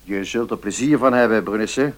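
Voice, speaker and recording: An actor playing a middle-aged notary in a Dutch radio play, measured and deliberate, kindly but firm. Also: male voice, lowish voice, close to an everyday voice. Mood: earnest, persuasive.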